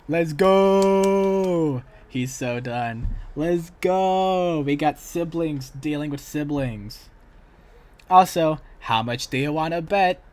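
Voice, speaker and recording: A man chatting casually as the host of a reaction livestream. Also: on the high side. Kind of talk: casual reaction stream chat.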